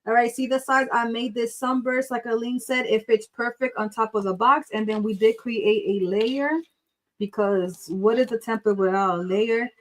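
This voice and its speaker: An excitable woman from the Dominican Republic runs a live craft tutorial, talking straight to her viewers. She chats fast and loose, says y'all a lot and drops in a bit of Spanish, with a nervous edge to her voice. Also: on the high side. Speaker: craft livestream host. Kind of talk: live craft tutorial with chat shout-outs.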